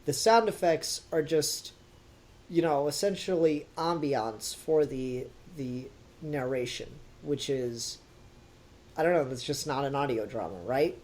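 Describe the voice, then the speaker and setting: A man's voice: a little high, measured pace, a little mellow. Wry, rambling male podcast host. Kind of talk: casual review discussion.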